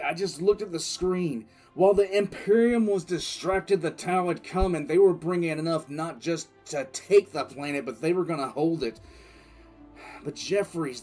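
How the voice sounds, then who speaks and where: A man's voice: fairly high pitch, darkish tone, lightly acted. Man acting a brooding veteran military commissar over music. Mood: grave, tense. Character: grim veteran military commissar recounting a past battle. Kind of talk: dramatic first-person audio drama storytelling. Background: background music.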